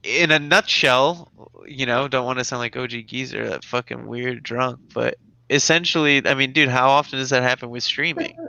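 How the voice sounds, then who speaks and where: A man hosting a casual podcast chat, animated and packed with fillers like "like" and "you know.". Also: medium pitch, normal speed, gravelly, clear, resonant tone, dry recording, rough recording. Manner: animated, filler-heavy, says like a lot. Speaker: podcast host.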